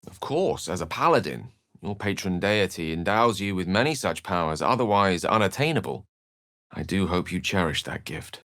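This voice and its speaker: A learned wizard from a fantasy video game, with a fairly low voice that moves expressively up and down. He phrases things elaborately and theatrically, with dry wit, in a close, dry recording. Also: animated, moderate pace, a bit bright, no echo. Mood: wry. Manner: articulate, wordy, theatrical phrasing. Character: eloquent, scholarly male human wizard with dry wit.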